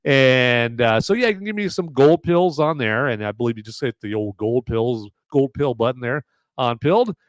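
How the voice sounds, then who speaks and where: A man's voice: mid-range voice, dry recording. A man hosting a live movie review podcast, rambling in a jokey, irreverent way. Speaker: podcast host.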